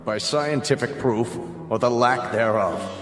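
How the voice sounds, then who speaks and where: An actor playing a cold, imperious state official in a radio drama, speaking in formal, stern, clipped pronouncements. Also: male voice, medium pitch, a little slow, close to an everyday voice. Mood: harsh, contemptuous. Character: cold, imperious male state official presiding over a hearing. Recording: echoey hall reverb with music.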